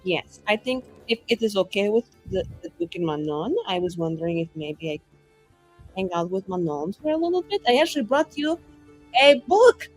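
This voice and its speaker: A woman's voice: medium pitch, big pitch swings, normal speed, darkish tone, slightly rough, soft background music, poor audio. A woman improvising casual, tentative and polite dialogue as a player in a tabletop roleplaying game.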